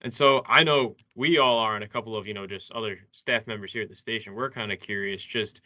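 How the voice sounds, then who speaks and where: A man's voice: on the low side, brisk, pushed and bright. A young man co-hosting a sports show, casually putting questions to a guest. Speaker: sports show co-host, interviewer.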